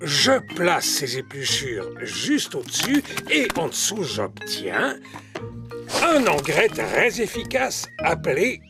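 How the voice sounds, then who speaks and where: A jolly old grandfather pig, with a hearty voice that swings widely up and down at a slow, savouring pace. He is a cartoon character with faint music behind him. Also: fairly high pitch, wildly swooping pitch, heavily acted. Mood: jolly, explaining. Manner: hearty, chuckling, savouring explanations. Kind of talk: children's cartoon dubbing.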